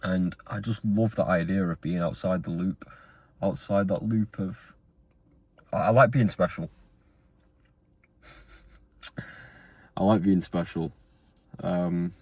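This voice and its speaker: Earnest young man, very deep voice. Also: a little mellow, no room sound. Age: 20s. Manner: rambling, earnest, with fillers and restarts. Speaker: vlogger. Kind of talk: motivational talking-head monologue.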